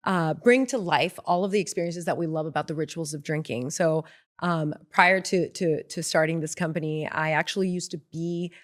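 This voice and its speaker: A woman from Mexico, a guest founder talking casually in an interview, with frequent ums and restarts. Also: low voice. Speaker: guest, beverage brand founder.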